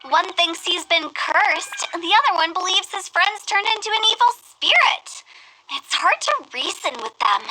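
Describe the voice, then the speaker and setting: A woman's voice: very high-pitched, animated, normal speed, pushed and bright, slight background noise, heavily acted, low-quality recording. A woman playing a playful, confident young funeral director in an anime-style fantasy game, with a theatrical, knowing manner. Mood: cheeky, knowing.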